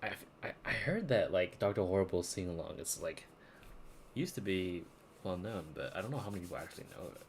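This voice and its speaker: Dude streaming a game, really low voice, chatty n rambly, repeats himself, slight noise. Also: male voice, lively intonation, fairly quick. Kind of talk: casual live game-stream commentary.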